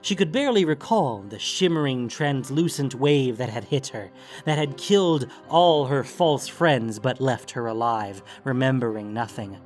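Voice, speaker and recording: A man's voice: medium pitch, expressive, moderate pace, a little mellow. Male narrator for scripted fantasy fiction, theatrical and grave, medium register, music bed. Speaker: narrator of a fantasy story podcast. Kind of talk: dramatic scripted story narration. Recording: music under the voice.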